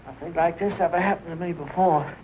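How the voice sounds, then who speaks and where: An actor playing an ailing but stoic elderly small-town doctor in a 1940s film drama. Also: male voice, a little high, quick pace, lightly acted. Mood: weak, reassuring. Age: over 50. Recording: tinny, noisy 1940 film soundtrack.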